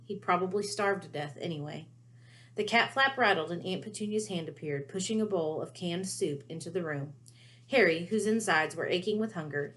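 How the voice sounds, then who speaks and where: A woman reading a children's book aloud as storyteller, with expressive narration. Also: mid-range voice.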